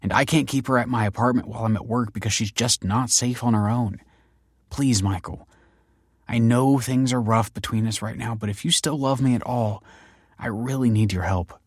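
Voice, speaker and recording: A man's voice: mid-range voice, normal speed, slightly rough, dry recording, studio quality. A man voicing an alarmed, insistent woman in a narrated story, emphatic and pleading. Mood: pleading, worried. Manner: emphatic, pleading, stammering when frightened.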